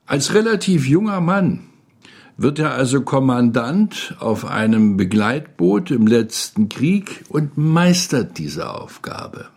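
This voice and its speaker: A man's voice: mid-range voice, sing-song, slow pace, gravelly, a little mellow. An older man telling a story in an interview, with emphatic, dramatic phrasing. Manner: dramatic present-tense phrasing. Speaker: interviewee, former radio feature editor.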